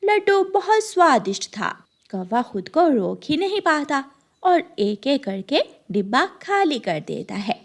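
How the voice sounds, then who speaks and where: A woman storyteller narrates a children's cartoon from a script. Her voice is high, and her intonation moves in wide, lively sweeps. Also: a little slow.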